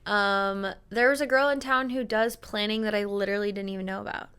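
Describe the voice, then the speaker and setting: A woman's voice: medium pitch, steady tone, a little fast, fairly bright tone, clear, resonant tone. A young woman co-hosting a podcast, chatting casually with lots of fillers as she tells everyday stories. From American Midwest. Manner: chatty, filler-heavy, storytelling. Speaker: podcast co-host. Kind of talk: casual chat.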